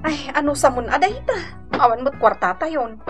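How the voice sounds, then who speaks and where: High-voiced, weary poor mother. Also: big pitch swings, normal speed, music in the background. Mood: weary, apologetic. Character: poor, weary mother talking to her child. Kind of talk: Ilocano radio drama acting.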